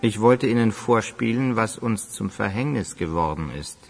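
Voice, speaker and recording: A man's voice: fairly low pitch, animated, normal speed, vocal fry, long reverb tail. A man acting a brooding, fatalistic musician in a German radio crime drama. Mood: resigned. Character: brooding, fatalistic male musician, former pupil. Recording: loud music behind the voice.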